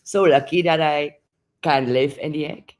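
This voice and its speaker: Hoarse older Dutch-accented woman. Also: steady tone, unhurried, a little mellow. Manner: deep, husky, laughing. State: hoarse from a late night. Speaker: guest.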